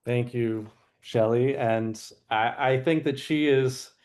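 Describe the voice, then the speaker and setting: A man's voice: medium pitch, fairly even intonation, slow, a little mellow. Friendly male teacher on a call. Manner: friendly, praising, loose phrasing. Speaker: school teacher and mentor. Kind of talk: casual introduction.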